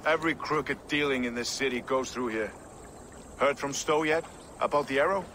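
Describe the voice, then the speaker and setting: Guy acting a world-weary viking age fighter, sharp bright voice, plain-spoken, bit of background noise. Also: medium pitch, moderate pace, lightly acted. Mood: grim. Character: grim, world-weary male Viking-age city warrior. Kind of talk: video game cutscene acting.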